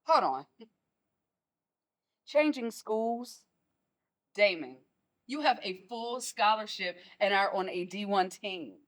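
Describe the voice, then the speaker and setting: Stern, protective mom of a college-age son, mid-range voice, forceful and scolding, stage drama played by a woman. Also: mid-range voice, expressive, slow pace, long pauses. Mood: alarmed, disbelieving. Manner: forceful, scolding, rhetorical questions. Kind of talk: stage play dialogue.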